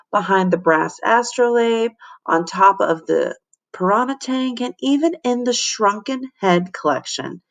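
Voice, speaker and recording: A woman's voice: medium pitch, gravelly, fairly bright tone. A woman reading a children's story expressively, as a teacher does for students. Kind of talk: expressive storybook read-aloud.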